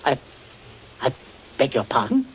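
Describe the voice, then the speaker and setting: A man's voice: medium pitch, wildly swooping pitch, unhurried. An actor playing a pompous, scheming middle-aged father in an old radio suspense play, with a hesitant, clipped, condescending manner. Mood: flustered. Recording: muffled, hissy old radio recording.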